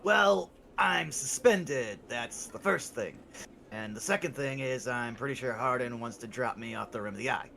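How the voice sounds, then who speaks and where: A man's voice: mid-range voice, moderate pace, vocal fry, sharp tone, slight background noise. A man voicing a wry, scornful space drifter. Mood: laid-back. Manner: scornful and laid-back. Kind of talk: voicing a game character live.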